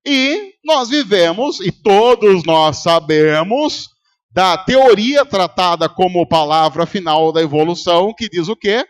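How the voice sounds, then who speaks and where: A man's voice: high-pitched, animated, slow, smooth. A man with a Brazilian Portuguese accent preaching a sermon as pastor, arguing rhetorically and putting questions to his congregation. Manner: rhetorical, quizzes the congregation and acts out arguments. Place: church service.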